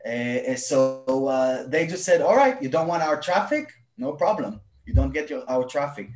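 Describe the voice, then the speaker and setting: A man's voice: on the high side, animated, normal speed, over music. Hebrew-native man in an echoey room. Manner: many fillers and restarts, opinionated. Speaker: panel guest. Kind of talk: casual panel discussion.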